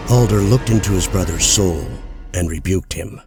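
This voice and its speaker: A man narrating a fantasy audiobook in a measured, solemn storytelling manner. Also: deep voice. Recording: loud music bed under voice.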